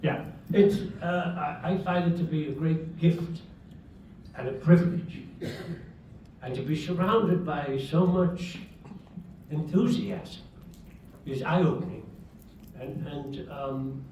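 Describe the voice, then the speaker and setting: Halting man in a very echoey hall. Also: a little high, darkish tone, lo-fi sound, a little hiss or hum. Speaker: actor on a convention panel. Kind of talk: panel talk. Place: convention panel hall. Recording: very echoey hall, distant mic.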